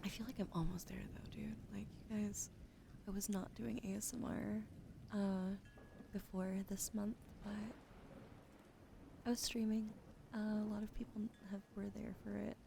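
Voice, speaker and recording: A woman livestreaming ASMR in a soft, breathy whisper and hushed casual chat, with a slightly weak, wheezy throat, speaking gently to her viewers up close to the mic. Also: medium pitch, level tone, normal speed, background noise. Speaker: streamer hosting a live ASMR broadcast.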